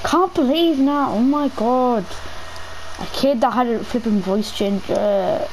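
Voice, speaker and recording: A teenage gamer chatting excitedly and pleadingly while playing an online game. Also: medium pitch, slow. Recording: noisy game voice chat.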